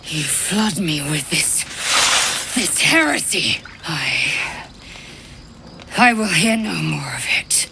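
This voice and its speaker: A woman's voice: sits low, very animated, takes it slowly, creaky, background noise, exaggerated voice. A woman playing a proud, fierce githyanki warrior in a fantasy game, haughty, blunt and formal in her clipped speech. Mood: defiant, shaken. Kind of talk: dramatic fantasy game acting.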